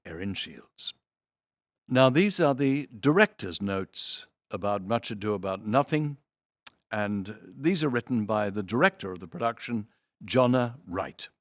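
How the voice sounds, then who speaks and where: Expressive man reading aloud, theatrical and lively. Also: lowish voice, no room sound. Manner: theatrical, deliberate phrasing with dramatic emphasis. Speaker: reader of theatre programme notes. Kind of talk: expressive read-aloud of programme notes with brief asides.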